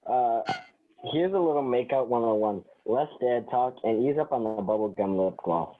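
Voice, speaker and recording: A man playing a sarcastic, defensive sitcom smooth talker in a script read, over thin phone-like audio. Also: mid-range voice, lively intonation, normal speed, natural voice. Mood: flustered, sarcastic.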